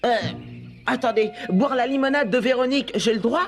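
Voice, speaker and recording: A woman voicing a gluttonous young schoolboy in an animated cartoon, scheming, hesitant and easily flustered. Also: a little high, fairly quick, soft background music, natural voice. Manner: hesitant, musing to himself.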